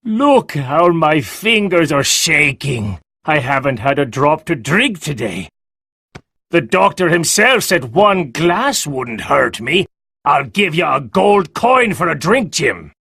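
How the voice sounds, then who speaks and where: A man voicing a sick, fierce old sea captain who drawls, pleads and curses in a gravelly, husky voice. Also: on the high side, big pitch swings, slow, sharp tone, voice pushed up, full character voice. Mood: pleading.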